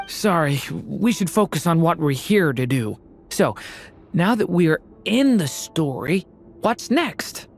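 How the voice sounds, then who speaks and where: A woman voicing a gentle, wistful young dragon boy in a video game, earnest and hesitant. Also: low-pitched, sing-song, takes it slowly, faint music behind, exaggerated voice. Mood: thoughtful.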